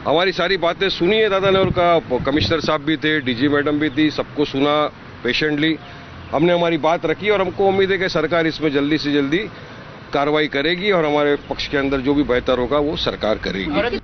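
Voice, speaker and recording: A man's voice: fairly high pitch, fairly quick. A man speaking informally as a political leader in a press soundbite. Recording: dull location sound, faint noise.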